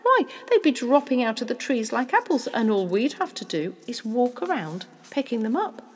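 Woman as storybook dad, scheming, excitable, affectionate, husky, animated, exclamatory, long echo. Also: a little high, moderate pace, voice pushed up. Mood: dreamy excitement. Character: excitable, scheming, affectionate father of a young boy. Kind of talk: children's storybook character acting.

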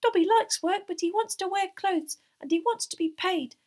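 A woman voicing an excitable, eager little male house elf in an audiobook, squeaky and shrill, speaking deferentially with quirky grammar. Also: normal speed. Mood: earnest, proud. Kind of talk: audiobook character voice.

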